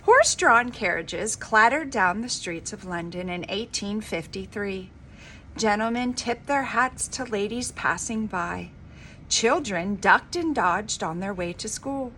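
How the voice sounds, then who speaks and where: A woman reading a children's story aloud in an expressive, dramatic, emphatic storybook manner. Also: medium pitch, a little hiss or hum. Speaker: reader of a children's book.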